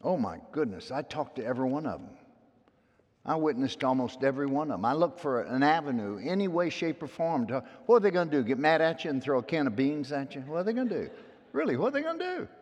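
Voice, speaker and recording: A pastor preaching a sermon in a folksy, storytelling way, his loud voice dropping to hushed asides and then rising to emphatic peaks. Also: fairly high pitch, very animated, fast, muffled recording. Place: church sanctuary. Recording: long hall reverb.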